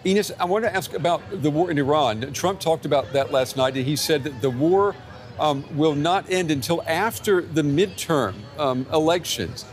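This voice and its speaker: A man anchoring a news broadcast, reading scripted links in a measured way and questioning guests. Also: a little high, faint music behind. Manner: measured, scripted delivery with occasional ums in interviews.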